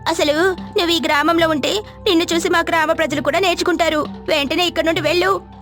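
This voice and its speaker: Village gossip for a moral tale, played by a female narrator: high-pitched, spiteful, scolding, accusatory, light music underneath. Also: fairly even intonation, fast talker, fairly bright tone. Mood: scornful scolding.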